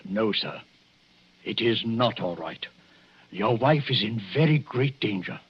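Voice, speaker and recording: An actor playing a grave, hesitant English doctor who gives ominous warnings in the formal, theatrical manner of 1940s radio drama. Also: male voice, lowish voice, expressive, unhurried, husky. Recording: dull 1940s radio recording.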